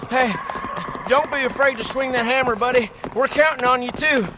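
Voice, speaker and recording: A man's voice: very high voice, fairly even intonation, moderate pace. An actor playing a jokey, brash male companion who talks casually. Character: wisecracking upbeat male companion. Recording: reverb with background noise.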